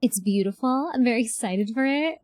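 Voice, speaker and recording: Lively woman streamer chatting. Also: fairly high pitch, brisk, no room sound, studio quality. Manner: reactive, talks to chat. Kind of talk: casual live game playthrough chat.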